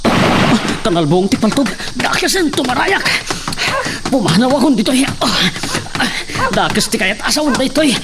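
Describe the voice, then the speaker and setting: A man's voice: very high-pitched, very animated, moderate pace, full character voice. A young man playing an earnest son, narrating his story in a plain, earnest way. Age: young adult. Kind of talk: amateur drama acting and narration. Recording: distant mic with clear insect noise.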